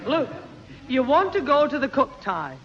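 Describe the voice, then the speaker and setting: Brisk, scolding, lively-voiced mother. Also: high voice. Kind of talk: 1960s radio comedy acting. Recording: echoing hall, muffled old radio, faint hiss.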